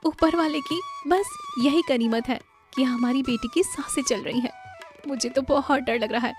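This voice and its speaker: Panicked village mother played by a woman, tearful, high, very animated, cartoon melodrama with music. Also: normal speed. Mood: frightened, tearful. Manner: tearful, urgent. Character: distraught village wife and frightened mother. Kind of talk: Hindi moral cartoon story dubbing.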